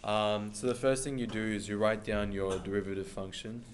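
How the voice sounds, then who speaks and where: A man's voice: lowish voice, fairly even intonation, normal speed, a little hiss or hum. A male student demonstrating a calculator function to his class in an offhand, plain way, with fillers.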